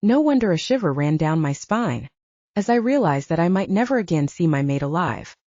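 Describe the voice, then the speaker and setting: Female narrator for fiction audiobook: soft-edged mellow tone, animated melodic delivery, scripted storytelling. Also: on the low side, normal speed, dry recording, muffled recording.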